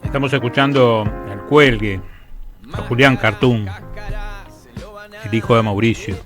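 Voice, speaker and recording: A man's voice: medium pitch, a little slow, fairly bright tone, faint music behind. Informal Argentine man hosting late-night radio. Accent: Argentine Rioplatense. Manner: informal, conversational. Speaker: radio host interviewing a guest. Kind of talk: casual chat, late-night radio interview.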